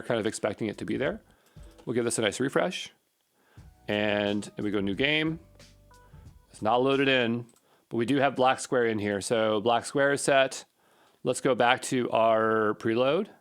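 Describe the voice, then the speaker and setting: A man's voice: medium pitch, fairly bright tone. A man giving an informal coding walkthrough, thinking aloud and muttering to himself as he works. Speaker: coding tutorial presenter.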